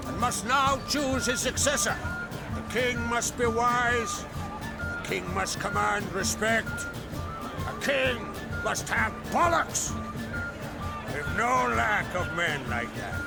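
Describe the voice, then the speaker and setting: Gravelly older clan chieftain. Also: male voice, extremely high pitch, animated, takes it slowly, full character voice. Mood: rousing, proclaiming. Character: gruff, hearty older clan chieftain, a proud father. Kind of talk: video game voice acting. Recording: loud music behind the voice.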